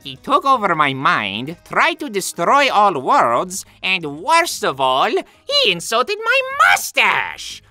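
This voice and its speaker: An excitable, cheerful cartoon plumber hero. The voice is bright and swings widely in pitch, close and dry, with faint music behind it. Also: male voice, slow pace, hardly any pauses, no echo, exaggerated voice. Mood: animated, chatty. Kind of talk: animated fan Q&A comedy.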